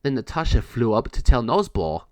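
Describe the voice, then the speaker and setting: Dry-sounding storybook narrator with lively intonation. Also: medium pitch, moderate pace, no echo. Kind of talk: scripted children's read-along narration.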